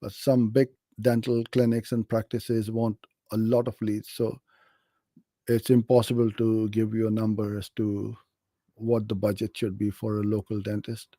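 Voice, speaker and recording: A man's voice: on the low side, little pitch movement, moderate pace, warm tone, no room sound. A middle-aged man from Pakistan hosting a live question session, giving practical advice in a friendly, direct way. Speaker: host answering viewer questions. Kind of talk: live Q&A on online advertising.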